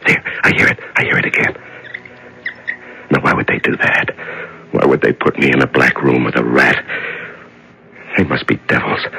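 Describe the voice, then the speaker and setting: A lonely captive fighting to keep his sanity in a vintage radio play. He mutters to himself very slowly and haltingly, in a low, gravelly voice with a tense edge. It is dramatic, haunted radio acting. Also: pushed and bright, full character voice. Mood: frightened, then bitter, wary. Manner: halting, muttering to himself, swinging from whispers to cries. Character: frightened lonely man locked in solitary darkness, clinging to sanity.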